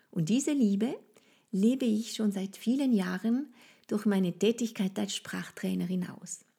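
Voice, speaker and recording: A woman with a French accent, a native French speaker, hosting a solo podcast in a gentle, inviting way and speaking straight to the listener. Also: mid-range voice, creaky, breathy edge. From France. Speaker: podcast host and French language trainer.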